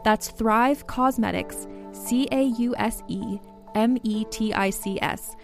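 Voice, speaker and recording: A woman reading ad copy in an upbeat, smooth way. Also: fairly high pitch, a little slow, faint music behind. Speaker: podcast host reading ads. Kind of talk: read ad copy.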